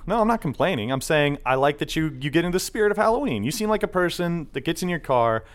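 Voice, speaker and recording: Animated joking man hosting a podcast. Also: on the high side, fast talker. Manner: jokey, slips into silly put-on voices. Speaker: podcast host. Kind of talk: casual chat.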